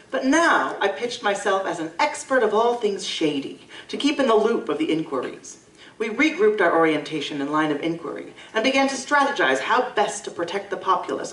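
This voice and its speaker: A woman narrating a live audio drama in a measured, storytelling manner. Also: fairly low pitch. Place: live convention stage. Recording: echoey stage from far off.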